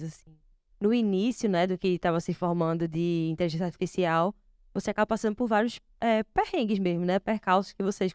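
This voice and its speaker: A woman hosting a panel talk, summarizing the guest's story and posing questions in a measured way. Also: on the low side, darkish tone, clear, resonant tone, no room sound, clean studio sound. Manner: measured, summarizing then asking. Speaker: host.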